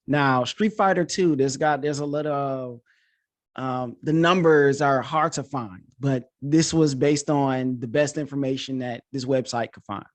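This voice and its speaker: A man's voice: mid-range voice, unhurried. A man hosting a podcast in a casual, informal chat, talking with enthusiasm like a coach. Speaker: podcast host; coach and teacher.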